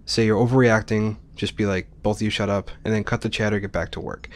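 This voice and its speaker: A man's voice: fairly low pitch, level tone, fast. A man giving informal, explanatory commentary as he hosts a video game playthrough. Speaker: Let's Play host. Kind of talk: casual gameplay commentary.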